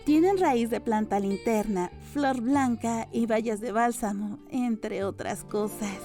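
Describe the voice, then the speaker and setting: A woman reader acts out a serious young apothecary woman in an audiobook. She speaks bluntly but with deference, in a fairly high, mellow voice. Music runs faintly underneath. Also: takes it slowly. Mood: earnest. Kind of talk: audiobook character dialogue.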